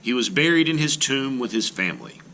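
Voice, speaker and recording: A man reading an art lesson aloud as a teacher, deliberate and emphatic, pausing between phrases and explaining as he goes. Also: mid-range voice, very animated, bright, forceful tone, a little hiss or hum. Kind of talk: read-aloud lesson narration with brief explanatory asides.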